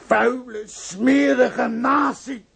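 Radio drama notary: irritable, sputtering, voice pitched very high and cracked with fry. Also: male voice, fairly even intonation, very slow, a crowd in the background, heavily acted. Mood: furious. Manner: stammering, sputtering. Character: flustered, bad-tempered notary with a shady past. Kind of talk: radio play acting.

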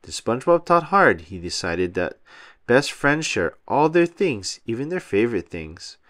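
A man narrating a children's storybook as an expressive read-aloud for young listeners. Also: medium pitch, measured pace, no echo, studio quality. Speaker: storybook reader.

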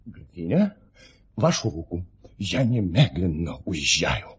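An actor playing a pompous, excitable count who declaims indignantly in an audio play. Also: male voice, low voice, slow pace, full character voice. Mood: indignant, haughty. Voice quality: husky.

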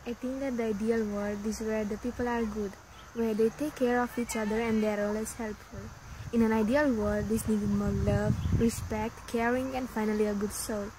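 A woman's voice: fairly high pitch, fairly even intonation, normal speed, noisy background. A teenage girl student reading a prepared statement outdoors.

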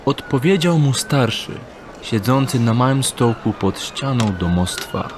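Male narrator, mid-pitched voice, scripted storytelling, audiobook style, clear ambient noise behind. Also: expressive, unhurried.

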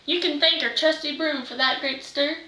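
A woman's voice: sits high, fairly even intonation, normal speed, sharp tone. A teenage girl playing a witch's chatty, bossy sidekick, reading with exclamations in readers' theater. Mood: bossy, teasing. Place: living room, far camera microphone. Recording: roomy, distant microphone.

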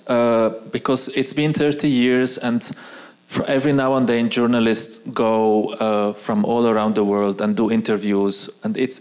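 A man in his forties, a native Arabic speaker, speaking on an auditorium stage as a guest artist, pausing and restarting often. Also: mid-range voice, smooth. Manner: hesitant, frequent restarts. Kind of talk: onstage conversation. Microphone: handheld mic.

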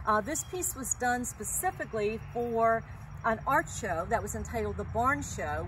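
A woman in her sixties, an artist and teacher, explaining her work informally in a measured way, outdoors and away from the camera. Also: mid-range voice, a bit bright. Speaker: artist and art teacher presenting paintings. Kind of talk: informal talk presenting artworks. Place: outdoors by a weathered wooden wall. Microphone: camera a few metres away. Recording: distant mic, noisy outdoor ambience.

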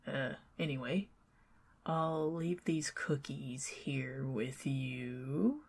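A young woman with a Southern US accent doing an ASMR roleplay as a chatty neighbor, rambling between soft talk and whispers with nervous giggles. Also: a bass voice, extremely slow, creaky, breathy edge. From Southern United States. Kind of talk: ASMR roleplay, talking to the listener as a friendly neighbor.

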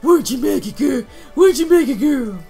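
A woman's voice: sits high, lively intonation, a little fast, vocal fry, warm tone. A woman playing a doting animal lover, cooing in exaggerated baby talk as if to a pet. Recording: music behind the voice.

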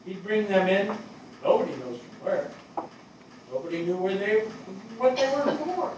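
An older man playing an affectionate, weary fire captain in a staged dramatic reading, telling stories conversationally with pauses and repeats. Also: high voice, very animated. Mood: fond reminiscing. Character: weary, affectionate fire captain reminiscing about lost men. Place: small theatre stage before an audience. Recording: echoey theatre, far from mic, faint noise.

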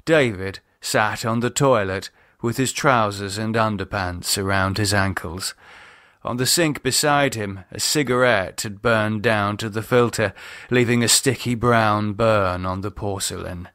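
A man narrating a novel in a measured, deliberate audiobook style. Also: fairly low pitch, a bit bright, no room sound, studio quality. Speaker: author reading own novel. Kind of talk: scripted audiobook narration, dramatised.

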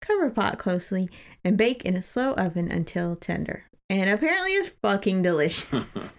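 A woman's voice: medium pitch, sing-song, normal speed. A woman co-hosting a podcast, casually retelling a book in an informal, giggly, lightly sweary way. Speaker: podcast co-host. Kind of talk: casual book discussion with retelling and read-out passages.

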